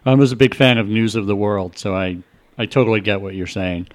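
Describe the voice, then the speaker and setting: A man's voice: fairly low pitch, a little fast. A male radio host interviewing a guest, asking long, rambling questions full of restarts.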